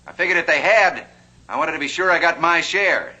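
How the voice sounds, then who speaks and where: A man's voice: on the high side, fairly quick. A man narrating a vintage TV drama and playing a flamboyant, genial 1860s banker. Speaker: host and actor. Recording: muffled old TV soundtrack with hiss.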